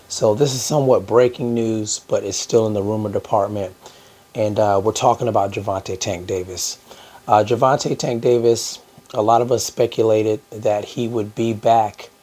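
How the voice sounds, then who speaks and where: A hoarse man hosting a boxing news channel, talking casually to his viewers with lots of fillers. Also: fairly low pitch, darkish tone. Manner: conversational, many "uh" fillers, addresses "guys". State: hoarse from a cold. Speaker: boxing YouTube host.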